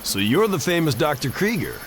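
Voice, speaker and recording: A man's voice: fairly high pitch, big pitch swings, a little fast, noisy background. A man playing a reluctant ex-soldier in a video game, with a dry, sarcastic way of talking. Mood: defiant, sarcastic. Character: sardonic reluctant mariner and ex-special forces man. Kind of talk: video game cutscene acting.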